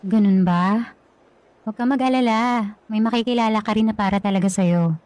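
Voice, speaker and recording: A woman's voice: medium pitch, a little fast, darkish tone, no echo, natural voice. An actress playing a flustered young woman meeting her ex-boyfriend in a radio drama, hesitant and evasive. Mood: friendly. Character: young woman, flustered ex-girlfriend now engaged.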